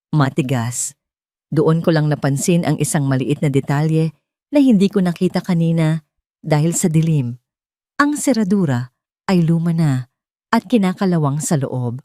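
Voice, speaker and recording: A woman narrating a scripted first-person drama in a deliberate, dramatic way with short phrases. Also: deep voice, mellow, no echo, clean studio sound. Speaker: story narrator.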